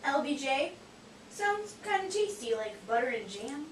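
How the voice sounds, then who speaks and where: A woman voicing a cheeky, naive, excitable puppet sidekick in comic scripted banter. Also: high-pitched, a little slow. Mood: playful, joking. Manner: playful, exclamatory, wisecracking. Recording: echoey, distant, noisy room.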